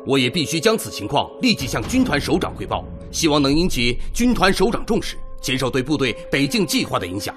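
An actor playing an earnest, worried Red Army political commissar in a formal, emphatic radio drama style. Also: male voice, medium pitch, big pitch swings, brisk, hardly any pauses, natural voice. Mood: concerned, steadying. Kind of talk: Chinese radio drama acting. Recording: echoey hall reverb, music underneath.

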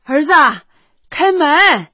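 A woman's voice: high voice, animated, slow pace, bright, forceful tone, no echo, highs cut off, exaggerated voice. An actress playing a thrifty, doting middle-aged mother in a radio drama, homely, fretful and coaxing. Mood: coaxing, worried.

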